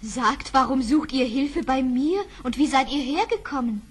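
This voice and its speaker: A sweet poised young princess, really high n breathy, calm and formal, storybook radio play. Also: female voice, normal speed. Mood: calm, curious. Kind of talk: fairy-tale radio play acting.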